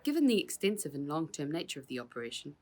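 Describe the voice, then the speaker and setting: Storybook officer, woman reading: low voice, official, measured, unyielding. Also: sing-song, darkish tone. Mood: stern, official. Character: formal, unbending police officers making an arrest.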